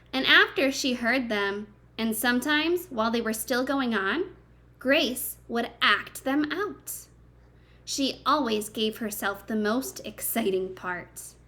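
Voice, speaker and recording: A woman's voice: medium pitch, bright, forceful tone. A woman reading a picture book aloud to young children like a teacher, expressively, with simple chatty asides and questions to her listeners.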